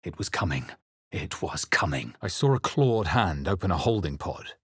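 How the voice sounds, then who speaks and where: A low, husky male narrator telling his own dark fantasy tale. His delivery is solemn and deliberate, like a literary game narration from a brooding adventurer. The recording is close and dry. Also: animated, no room sound, full character voice. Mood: solemn, haunted. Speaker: video game narrator in first person. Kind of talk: dramatic scripted fantasy narration.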